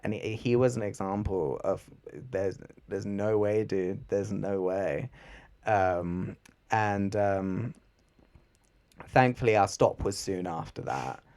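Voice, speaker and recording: A man's voice: lowish voice, takes it slowly, a little mellow. A young man co-hosting a podcast, talking in a rambling, laid-back way with lots of fillers. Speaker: podcast co-host. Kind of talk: casual chat.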